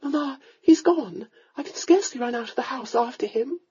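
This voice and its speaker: A woman's voice: sits high, expressive, brisk, mellow, slightly rough, muffled recording, voice pushed up, exaggerated voice. A woman voicing a reluctant young noblewoman answering her mother in a subdued, patient way. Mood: weary. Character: tall, reluctant young noblewoman, weary daughter.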